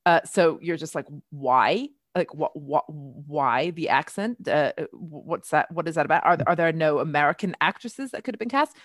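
A woman's voice: sits low, expressive, moderate pace, hardly any pauses, dry recording, studio quality. A middle-aged British woman, chatty and articulate, hosting a casual discussion about culture. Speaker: podcast host, columnist and historian.

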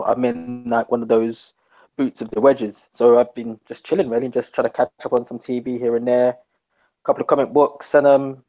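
Laid-back slangy guest. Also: male voice, medium pitch, warm tone, low-quality recording. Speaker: podcast guest. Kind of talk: casual podcast chat.

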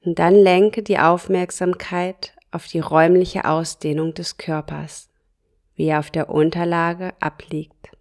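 A woman guiding a yoga nidra relaxation, speaking softly and calmly in short phrases with long pauses. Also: on the low side. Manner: slow, soft and calm, with pauses between short phrases. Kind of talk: guided relaxation meditation.

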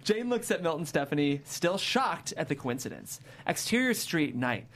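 A woman's voice: deep voice, sing-song, moderate pace. A woman reading the stage directions of a comedy screenplay as a narrator.

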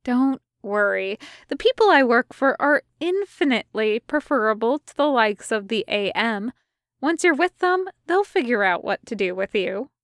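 A breezy female kidnapper from an audio story, acted out by a woman reader, speaks in a clear, fairly high voice. She is chatty and sweetly condescending, with a sardonic edge, in a dry, close recording. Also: very animated, moderate pace, no room sound. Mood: smug, chatty. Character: breezy, smug female covert agent and kidnapper.

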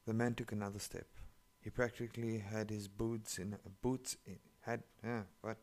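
Man, English, sarcastic swearing story reader, deep and gravelly. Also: flat delivery, normal speed, darkish tone. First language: not English. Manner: sarcastic, profane running commentary. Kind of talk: reading online stories aloud with commentary.

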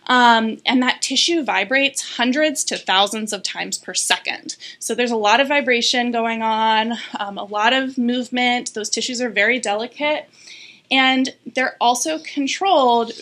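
Bright-voiced woman explaining voice care. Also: on the high side, measured pace. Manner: clear, informative, many ums. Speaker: speech-language pathologist guest. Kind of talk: explanatory interview talk.

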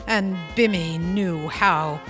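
A woman playing a wry, fond, ageless sorceress who narrates a comic tale in a deliberate, dramatic manner. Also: fairly low pitch. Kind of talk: comic storytelling narration in audio theatre. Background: music.

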